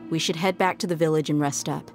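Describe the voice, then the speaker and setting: A woman's voice: low voice, steady tone, fast talker, soft background music. An actress playing a tough, easygoing young female mercenary in a video game, casual and cool-headed.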